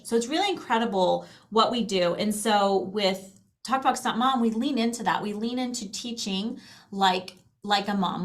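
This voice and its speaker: A woman's voice: medium pitch, fairly bright tone. A woman with a mid-range voice talks as a guest in an interview. She explains in a chatty, conversational way, slipping into little quotes and checking in with tag questions. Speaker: interview guest, company founder.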